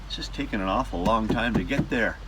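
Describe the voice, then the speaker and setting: Low-voiced man with noisy background. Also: fairly quick. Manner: wry, joking asides. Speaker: vlog host and travelling musician. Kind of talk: casual travel documentary narration.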